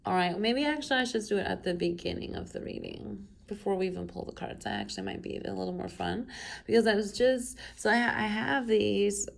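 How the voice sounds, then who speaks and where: A woman's voice: medium pitch, big pitch swings, a little fast, gravelly. A woman with a cold, sounding stuffed up, giving a chatty tarot reading to camera with rambling asides. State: has a cold, stuffed up and coughing. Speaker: tarot reader. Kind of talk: casual pick-a-pile tarot reading to camera. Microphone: camera mic.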